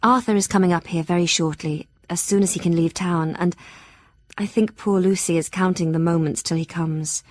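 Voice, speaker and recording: A woman's voice: on the low side, steady tone, moderate pace, no echo, natural voice. Woman acting worried young victorian lady reading her diary, earnest and formal, close dry sound, audio drama. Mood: gently concerned. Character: anxious young Victorian Englishwoman, devoted, confiding in journal. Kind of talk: audio drama journal narration.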